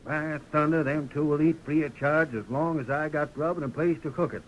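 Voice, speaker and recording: A hearty, blunt man of the American frontier, as a male actor plays him. His voice sits somewhat high and his talk is emphatic and rustic, in old radio drama style with a slightly noisy recording. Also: fairly even intonation, a little fast, highs cut off, natural voice. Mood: fond, gruff. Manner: emphatic, folksy frontier grammar. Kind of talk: 1930s radio acting.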